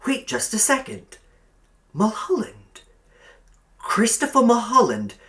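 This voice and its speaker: A man's voice: extremely high pitch, huge pitch swings, takes it slowly, pushed and bright, voice pushed up, full character voice. A man voicing a polite, earnest, slightly puzzled seventeen-year-old boy in an audiobook, with a hesitant manner. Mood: eager, puzzled. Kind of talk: audiobook character acting.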